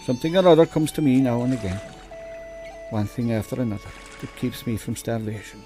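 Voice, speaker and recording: An actor playing a genial old storyteller from an island, with an Irish accent, folksy and vivid, in a radio drama. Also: male voice, mid-range voice, dark tone, close to an everyday voice. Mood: solemn, reflective. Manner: folksy, teasing, vivid narrator. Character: genial old island storyteller, male, spinning yarns for children.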